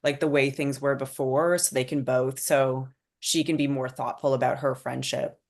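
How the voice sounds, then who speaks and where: Woman writer-director, very deep register, talking informally and thoughtfully about creative work. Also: fairly even intonation, moderate pace, darkish tone. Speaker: screenwriter and director. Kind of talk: casual discussion after a script table read.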